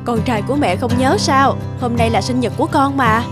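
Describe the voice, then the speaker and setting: An actress playing a gentle, caring mother in a cartoon. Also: fairly high pitch, fairly quick, close to an everyday voice. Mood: gentle, explaining. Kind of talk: cartoon dub acting. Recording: loud music under the voice.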